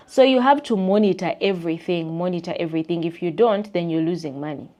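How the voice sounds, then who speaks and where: Ranting Ugandan woman, lowish voice. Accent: Ugandan English. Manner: animated, ranting, mimics people in high squeaky voices. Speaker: vlogger. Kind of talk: casual chat rant to camera.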